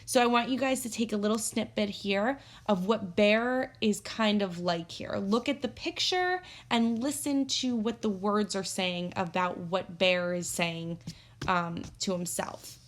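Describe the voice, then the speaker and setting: A woman teacher reading a story aloud for children, expressive and instructive, pausing to pose guiding questions. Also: medium pitch. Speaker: elementary teacher.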